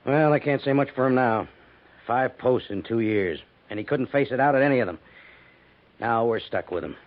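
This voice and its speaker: An actor playing a stern, weary middle-aged cavalry major with grumbling authority in a 1950s radio drama. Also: male voice, medium pitch, normal speed, close to an everyday voice. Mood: exasperated. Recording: dull 1950s radio recording.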